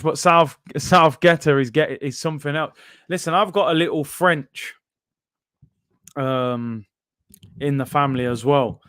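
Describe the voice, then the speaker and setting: A man's voice: mid-range voice, no room sound, studio quality. A young man hosting a casual live stream, chatting loosely and reading out viewer comments. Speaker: watchalong host reading chat.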